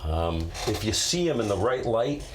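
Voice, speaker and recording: A middle-aged man with an American accent and a deep voice talks casually over light background noise. He hosts a live stream, rambling through stories and slipping into quoted dialogue as he goes. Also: very animated, moderate pace. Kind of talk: casual chat, rambling anecdotes.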